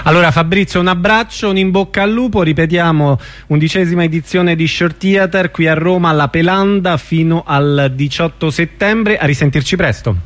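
Radio host, slightly high voice, questions that wander and restart. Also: male voice, normal speed, hardly any pauses. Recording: radio broadcast.

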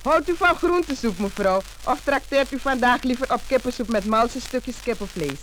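A woman's voice: on the high side, animated, moderate pace, over loud music. A woman announcer reading a scripted radio commercial that addresses the listener, on a noisy old disc. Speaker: advertising announcer.

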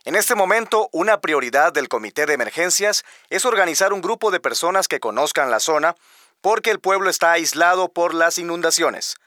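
An actor playing an earnest community radio announcer in a radio drama, with formal, projected delivery. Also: male voice, on the high side, animated, fairly quick, sharp tone, dry recording, studio quality. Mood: urgent, formal. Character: earnest male community radio announcer relaying emergency appeal. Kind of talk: radio drama news bulletin.

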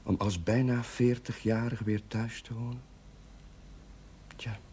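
An actor playing a dutiful, halting chaplain nearing forty in a television drama. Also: male voice, mid-range voice, little pitch movement, dark tone, close to an everyday voice. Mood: hesitant, resigned. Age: late 30s. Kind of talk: naturalistic television drama acting. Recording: 1970s television audio with background noise.

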